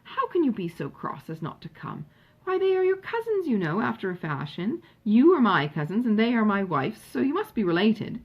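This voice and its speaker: A woman voicing a hearty, insistent older country gentleman in a storybook, coaxing with emphatic exclamations. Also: mid-range voice, big pitch swings, normal speed, husky, muffled recording. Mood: eager, coaxing. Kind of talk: acted dialogue in audiobook narration.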